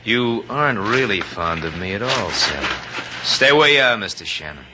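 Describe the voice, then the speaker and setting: Noisy old radio recording, actor as male villain, low voice, educated, smug, menacing, mockingly courteous. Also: lively intonation, moderate pace, natural voice. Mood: cold threatening. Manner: mocking, drawling politeness with menace. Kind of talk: 1940s-50s radio drama acting.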